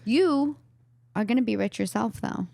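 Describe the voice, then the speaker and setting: A woman from the Bronx with an Italian-American New York accent, hosting a casual comedy chat with teasing, quick banter in her home den. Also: on the high side, gravelly, warm tone, no echo, clean studio sound. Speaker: host.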